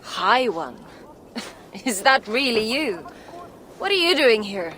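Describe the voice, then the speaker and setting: An actress playing a pragmatic female dwarf metal worker in a video game, measured and matter-of-fact. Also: a little high, big pitch swings, a bit bright, a little hiss or hum, heavily acted. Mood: surprised, amused. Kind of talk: video game cutscene acting.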